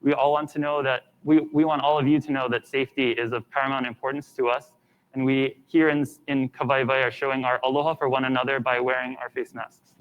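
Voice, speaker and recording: A man co-hosts a live forum, welcoming viewers and reading out names in a friendly, conversational way. His voice is mid-pitched, and the recording has a slightly dull, muffled sound. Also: level tone, fairly quick, smooth. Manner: friendly, reads names aloud. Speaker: event co-host. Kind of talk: live event hosting.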